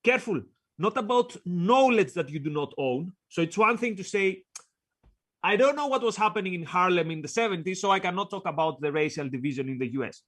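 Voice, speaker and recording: A man with a Greek accent and a fairly high voice co-hosts a casual discussion. He reads quotes aloud and talks in short, paused chunks. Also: animated, fairly bright tone. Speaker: co-host.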